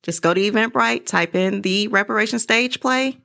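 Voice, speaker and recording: A woman's voice: medium pitch, normal speed, gravelly, fairly bright tone. A woman chatting casually as a guest in an interview, recorded in a podcast studio.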